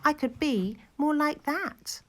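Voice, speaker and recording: Woman voicing a tiny squeaky storybook mouse. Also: slow pace, a bit bright. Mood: wistful. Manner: timid, squeaky, hesitant. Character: tiny, meek but plucky young male mouse. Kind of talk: storybook character voice.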